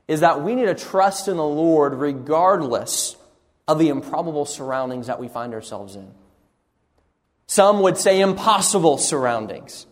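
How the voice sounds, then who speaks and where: A man preaching an earnest sermon, often repeating words and pausing with fillers. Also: fairly high pitch, in a large hall. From raised partly in Sri Lanka on the mission field.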